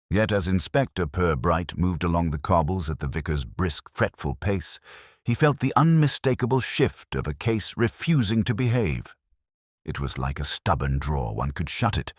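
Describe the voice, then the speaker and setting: A man reading a detective story as scripted dramatic narration, in a measured, literary way. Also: a bass voice, dry recording, studio quality.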